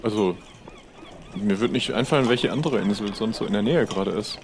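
A man's voice: lowish voice, fairly quick, a little hiss or hum, natural voice. A man playing a naive, easygoing pirate deckhand in an improvised audio adventure, talking in a hesitant, rambling slacker way. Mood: unsure. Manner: hesitant, rambling, laid-back slacker talk.

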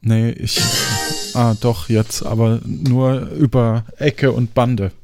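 A man's voice: medium pitch, steady tone, takes it slowly, creaky, loud music behind the voice. A man hosting a podcast in a casual, chatty style. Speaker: podcast host.

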